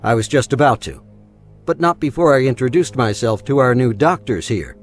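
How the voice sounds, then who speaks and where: A rich, arrogant gentleman, acted by a man in a sci-fi audio drama, speaking with formal, pompous self-importance. His pitch is mid-range, with light music underneath. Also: lively intonation, normal speed, close to an everyday voice. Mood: formally polite. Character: smooth, arrogant wealthy man recruiting doctors, self-important.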